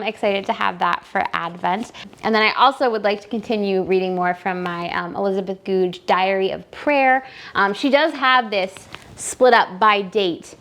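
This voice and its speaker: A woman's voice: medium pitch, a bit bright. A woman talking casually and enthusiastically to viewers as a book vlog host, gushing and wandering into asides as she thinks aloud. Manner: enthusiastic, gushing about books. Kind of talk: casual chatty reading vlog.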